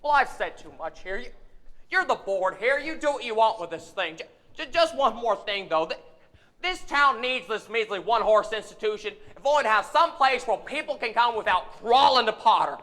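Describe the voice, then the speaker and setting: A man's voice: high-pitched, expressive, moderate pace, bright, forceful tone, long reverb tail. A young actor in a live stage radio play, playing an earnest young man who stammers when he gets worked up. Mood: impassioned. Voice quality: frequent nervous stammers. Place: theater stage, long reverb. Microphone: vintage stand microphone on stage.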